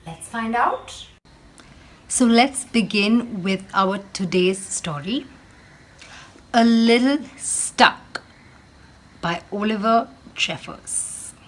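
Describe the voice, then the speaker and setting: A woman teacher reading a picture book aloud to children in a slow, expressive storytelling manner, speaking directly to her young listeners. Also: a little high.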